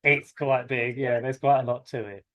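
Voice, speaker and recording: A man's voice: medium pitch, fairly even intonation, moderate pace, muffled recording. A British man giving an informal classroom talk as a flying instructor, rambling and hesitant but encouraging. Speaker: helicopter flying instructor and session host.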